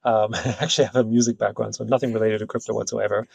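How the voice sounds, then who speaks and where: A man from Austria, a company founder as interview guest, talking in a relaxed, rambling way with many fillers. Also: fairly low pitch, quick pace, gravelly, breathy edge, no echo, muffled recording. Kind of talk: casual interview talk. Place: Hong Kong.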